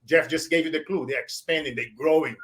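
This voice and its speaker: A man in his forties with a Brazilian accent, hosting a show and giving emphatic motivational shout-outs. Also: on the high side, fairly quick. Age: 40s. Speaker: show host. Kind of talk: motivational interview wrap-up.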